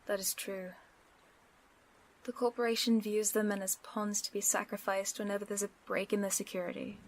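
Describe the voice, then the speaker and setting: A woman's voice: mid-range voice, flat delivery, normal speed, slight background noise, close to an everyday voice. Sly, teasing villainess, acted by a woman. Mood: confident, measured. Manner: wry, teasing. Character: sly, teasing, confident female supervillain accomplice, sneaky saboteur. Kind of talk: audio roleplay acting.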